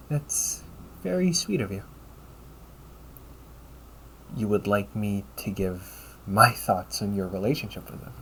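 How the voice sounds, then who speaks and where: A man acts out a male AI companion with a fairly low voice. He is polite and formal, with a caring, gently teasing way of addressing the listener in an intimate audio roleplay. Some noise sits behind the voice. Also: low-quality recording. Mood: earnest, warm. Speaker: voice actor. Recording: noticeable background noise.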